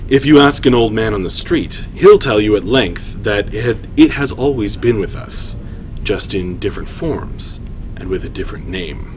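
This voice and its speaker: A man hosting a story reading, shifting between informal, halting chat and measured storytelling narration. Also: fairly low pitch, animated, background noise. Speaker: writer and host reading his own story aloud. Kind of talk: livestream story reading, narration with casual asides.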